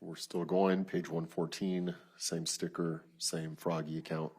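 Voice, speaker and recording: A man testifying as a detective in court, reading written messages aloud in a flat, procedural, matter-of-fact way with a husky voice. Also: deep voice, measured pace. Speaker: lead detective testifying as witness.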